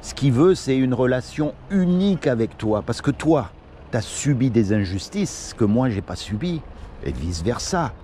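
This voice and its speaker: A man's voice: mid-range voice, very animated, normal speed, dark tone, slight background noise. A man from Morocco doing casual one-on-one street preaching, earnest and pleading, full of everyday examples. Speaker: street evangelist. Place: outdoors on a city street.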